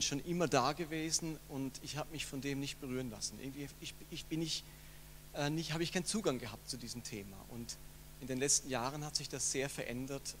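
A middle-aged pastor speaks in a mid-pitched, earnest voice, pausing now and then. A long hall reverb and a faint hum surround him. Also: male voice, fairly bright tone. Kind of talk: acceptance speech. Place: large hall on a stage. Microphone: handheld mic.

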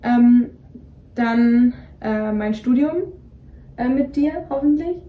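A woman's voice: fairly high pitch, takes it slowly, muffled recording. A young woman talking casually as a co-host, rambling with many fillers and restarts. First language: Greek is partly her home language. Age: young adult. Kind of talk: casual chat. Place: dim bedroom, indoors. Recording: roomy echo, voice somewhat distant from mic.

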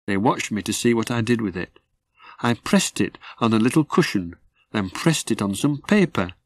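A man reading his own children's story as a measured, scripted narration. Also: on the low side, lively intonation.

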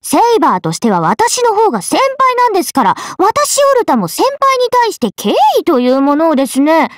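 A woman's voice: very high-pitched, huge pitch swings, normal speed, no echo, clean studio sound, heavily acted. A woman voicing a young swordswoman character in a video game. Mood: miffed, surprised. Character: swordswomen: a lively young one and her stoic alter self.

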